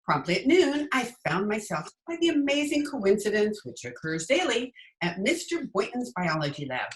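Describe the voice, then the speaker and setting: Lady playing sardonic schoolteacher, old radio comedy, swoopy lively delivery, noisy recording. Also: mid-range voice, moderate pace, lightly acted. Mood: narrating drily. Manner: dry, sardonic. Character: wry, wisecracking female high school English teacher. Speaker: lead character and narrator. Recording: noisy remote video-call recording.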